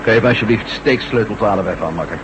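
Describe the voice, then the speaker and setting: An actor playing a dogged, inquisitive ex-astronaut reporter in a Dutch radio drama. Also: male voice, on the low side, fairly quick, highs cut off, close to an everyday voice. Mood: casually curious. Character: nosy, dogged male ex-astronaut reporter working undercover. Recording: noisy old radio recording.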